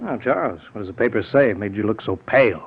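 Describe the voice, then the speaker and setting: An American actor in a 1940s-style radio drama playing a cold, smug invisible man turned killer, smooth-talking, calculated and menacing. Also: fairly low pitch, quick pace, lightly acted. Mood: cool, scoffing. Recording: tinny, noisy vintage radio recording.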